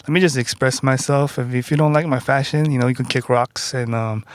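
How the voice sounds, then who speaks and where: A man's voice: medium pitch, fairly even intonation, fairly quick, no echo. Young man with a Koreatown accent. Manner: playful, self-mocking, laughs a lot. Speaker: guest. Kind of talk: casual podcast chat.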